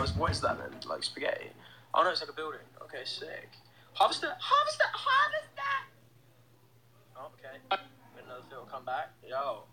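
A man's voice: mid-range voice, poor audio. A young man chatting casually on a phone livestream in a small indoor room, upbeat and reading out fan comments. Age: young adult. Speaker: band member hosting a livestream. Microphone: phone held close to face.

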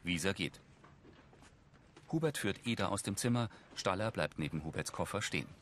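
A man's voice: low-pitched, expressive, moderate pace, a bit bright, a little hiss or hum. A man reading audio description for a TV series in a neutral, matter-of-fact way. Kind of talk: scripted descriptive narration of a TV series.

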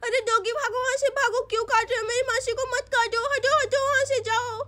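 A woman's voice: extremely high pitch, fairly even intonation, brisk, bright, forceful tone, pitched above the performer's own voice. A woman voicing an anxious little girl in a cartoon story, urgently calling for help. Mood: frantic.

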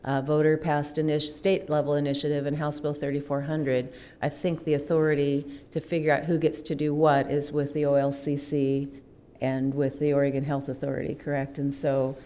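A woman in her fifties chairing a county commission meeting, measured and procedural, speaking into a desk microphone at the dais. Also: deep voice, flat delivery. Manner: measured, procedural, quietly reserved. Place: county commission hearing room, indoors.

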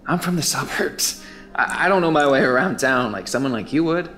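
A man's voice: medium pitch, expressive, brisk, pushed and bright, a little hiss or hum. An actor playing an eager, earnest young man of twenty-one, persistent and a bit stammering, in an audio drama. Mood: urgent. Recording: faint music underneath.

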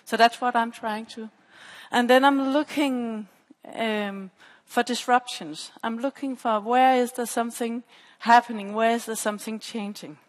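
A woman researcher gives an academic talk. Her voice sits slightly high, and she explains by restating her phrases. The headset sound carries the long echo of a large hall. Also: fairly even intonation, unhurried, few pauses. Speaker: PhD researcher presenting at a conference. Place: stage in a large dark auditorium. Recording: echoey hall with long reverb.

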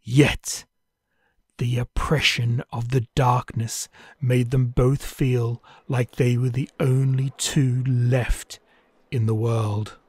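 A man narrating a classic ghost story in a measured, storytelling manner. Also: mid-range voice, little pitch movement, slightly rough, no echo, clean studio sound. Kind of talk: scripted dramatic narration.

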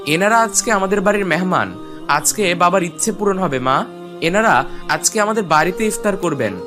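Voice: mid-range voice, animated, normal speed, fairly bright tone, soft background music, lightly acted. A performer playing a polite, earnest and eager village boy in a cartoon. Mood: excited. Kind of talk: cartoon voice acting.

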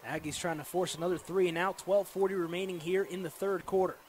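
High-pitched American male football announcer, noisy stadium. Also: quick pace. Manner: excitable, dramatic calls. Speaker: play-by-play radio announcer. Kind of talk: live American football play-by-play commentary. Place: football stadium during a live game. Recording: noisy live stadium broadcast.

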